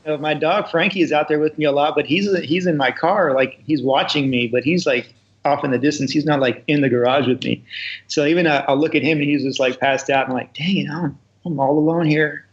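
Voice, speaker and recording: A man's voice: on the high side, fairly quick. A man chatting casually as a co-host on a hobby podcast. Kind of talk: casual chat. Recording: slightly dull lo-fi audio.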